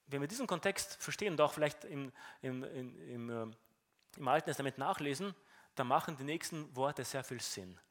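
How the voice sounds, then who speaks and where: A man's voice: mid-range voice, expressive, moderate pace, fairly bright tone. A man with a Romanian accent preaching a sermon in an earnest teaching tone, often repeating words as he restarts.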